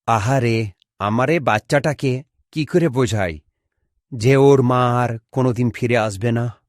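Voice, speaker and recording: A performer voicing a worried, soft-hearted village father in a cartoon, with a puzzled way of talking. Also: medium pitch, unhurried, no echo, close to an everyday voice. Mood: sorrowful. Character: worried, fond village father, adult man. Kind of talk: Bengali cartoon voice acting.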